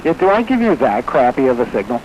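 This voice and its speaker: Man, medium pitch, good-humored grumbling, casual CB operator, noisy radio signal. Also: sing-song, quick pace, dull audio. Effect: two-way radio transmission.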